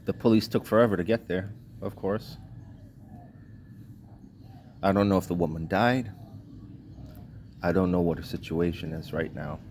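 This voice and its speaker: A man's voice: low-pitched, normal speed, long pauses, darkish tone, a little hiss or hum. A man from Trinidad and Tobago delivering a blunt, indignant vlog rant in short clipped sentences, swearing freely. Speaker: vlogger. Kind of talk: ranting monologue.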